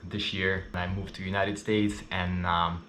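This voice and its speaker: Vlogger, thirties man, Russian-Ukrainian accent, lowish voice, candid and chatty, echoey room. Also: level tone, a little slow, fairly bright tone, a little hiss or hum. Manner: candid, chatty, frequent fillers. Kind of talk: casual personal story to camera. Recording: roomy echo.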